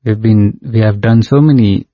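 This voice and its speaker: A man's voice: lowish voice, no room sound. Man with a Nepali mother tongue, dry lo-fi sound. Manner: unhurried storyteller, pausing with "uh" and light laughs. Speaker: devotional singer guest. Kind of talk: reminiscing in conversation.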